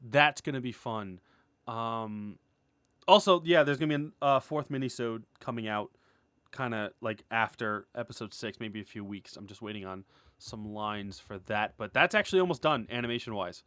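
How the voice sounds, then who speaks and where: A man's voice: fairly low pitch, expressive, moderate pace, fairly bright tone, clear, resonant tone, no echo. A man talking casually and enthusiastically in an unscripted, rambling update, informal and peppered with "like", as an indie creator addressing followers. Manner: enthusiastic, gushing; rambling, informal, heavy use of "like". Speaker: indie animator, writer and series creator.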